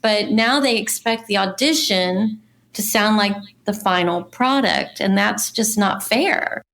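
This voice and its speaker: A woman's voice: mid-range voice, unhurried, fairly bright tone. A woman speaking conversationally as a casting director in an interview, explaining things and offering practical advice.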